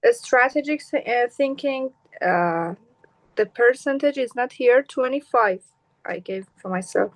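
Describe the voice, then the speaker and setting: A woman on a video call, sharing her answers hesitantly with many pauses. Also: fairly high pitch, animated. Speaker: workshop participant.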